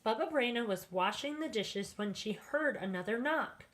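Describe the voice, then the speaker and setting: A woman storyteller reading a children's bedtime story aloud in an expressive, deliberate way. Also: fairly high pitch.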